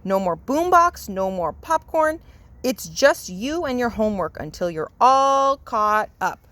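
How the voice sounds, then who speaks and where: A woman's voice: a little high, animated, measured pace, fairly bright tone. A woman voicing a stern father bear in a children's story, firmly lecturing his son. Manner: firm, lecturing.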